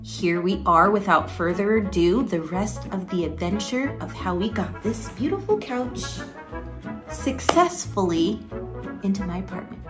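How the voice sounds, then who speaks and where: Laid-back young woman with loud music. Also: medium pitch, lively intonation, unhurried. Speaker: pro soccer player. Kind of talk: casual vlog chat and narration.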